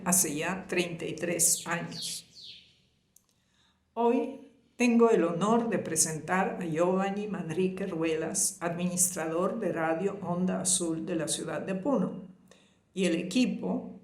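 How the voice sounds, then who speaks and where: A woman geographer giving a formal, courteous scripted introduction to a lecture. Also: fairly low pitch. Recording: echoey room sound.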